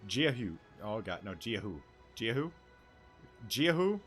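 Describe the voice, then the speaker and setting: Low-voiced man streaming a game. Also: sing-song, a little slow, faint music behind. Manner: informal. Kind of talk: casual playthrough commentary, reading dialogue aloud.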